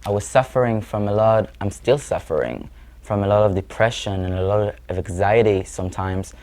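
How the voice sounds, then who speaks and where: A young man in his twenties with an Israeli accent answers interview questions in a fairly low voice. He speaks earnestly and pauses to find the words. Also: a little mellow. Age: 20s. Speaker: guest musician.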